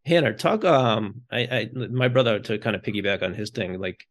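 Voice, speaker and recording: A man's voice: fairly low pitch, moderate pace. An American man hosting a podcast in casual chat, with rambling, stumbling phrasing as he puts his questions together. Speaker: podcast host.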